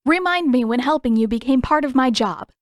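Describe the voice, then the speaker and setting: A woman's voice: high-pitched, expressive, fairly quick, no echo, clean studio sound, pitched above the performer's own voice. A woman voicing a hot-tempered teenage girl who is defiant and sarcastic, in an audio fan fiction story. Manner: sarcastic, indignant.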